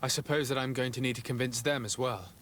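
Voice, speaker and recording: A man's voice: medium pitch, steady tone, fast talker, a bit bright, lightly acted. A man as a composed envoy, noisy backdrop. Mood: polite, composed. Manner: formal, composed, polite. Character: courteous, earnest male envoy, a fantasy adventurer. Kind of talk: fantasy video game cutscene acting.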